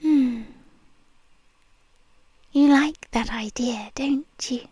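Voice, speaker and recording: A British woman voicing a possessive, controlling nurse in an ASMR roleplay, speaking in a slow, soft, intimate whisper close to the ear. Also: high-pitched, breathy edge, no echo. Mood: coaxing.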